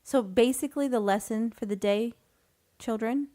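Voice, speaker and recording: A woman's voice: fairly high pitch, moderate pace, dark tone. A sleepy woman co-hosting a casual podcast, mostly giving short, laid-back reactions and laughing often. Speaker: podcast co-host.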